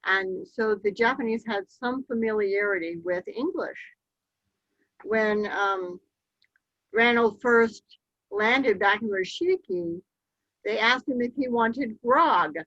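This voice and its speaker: Woman presenter, unhurried storyteller, mid pitch, muffled lo-fi recording. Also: fairly bright tone, dry recording. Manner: measured, slow storytelling with occasional ums. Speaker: local history speaker. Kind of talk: slide-show history talk. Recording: dull, lo-fi dry recording.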